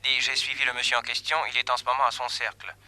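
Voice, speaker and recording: An actor playing an eager young detective's assistant over a telephone in a French radio serial. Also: male voice, medium pitch, flat delivery, very fast, pushed and bright. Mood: brisk, reporting.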